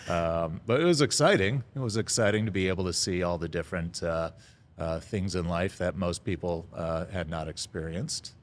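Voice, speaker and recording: Low-voiced man, halting storyteller. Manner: halting, lots of "uh" fillers, chuckles now and then. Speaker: restaurant founder, podcast guest. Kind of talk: casual storytelling interview.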